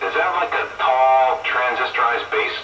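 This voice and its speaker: A man's voice: medium pitch, normal speed, lo-fi sound, heavy background noise. A man in casual hobby chat over the radio, speaking in short, clipped phrases. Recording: echoey, distant CB radio with loud music.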